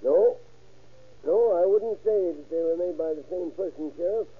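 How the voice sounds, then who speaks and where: An actor playing a matter-of-fact, measured male handwriting examiner in an old-time radio crime drama. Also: fairly high pitch, husky. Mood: assured. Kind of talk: 1930s-1940s radio acting. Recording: tinny old radio recording.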